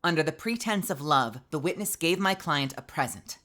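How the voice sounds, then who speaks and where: A woman voicing a composed young female defense attorney who presses a witness with measured, cutting questions. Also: low voice, lively intonation, heavy background noise. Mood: accusing. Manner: measured, cutting cross-examination. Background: background music.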